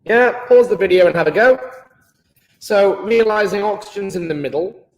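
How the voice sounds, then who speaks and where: Playful British chemistry teacher, echoey room. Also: male voice, high voice, moderate pace. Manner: playful and enthusiastic, joking with pupils. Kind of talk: live online lesson.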